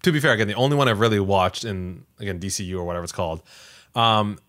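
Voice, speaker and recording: A man co-hosting a podcast, chatting casually in an emphatic, animated way. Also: fairly low pitch, quick pace. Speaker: podcast co-host.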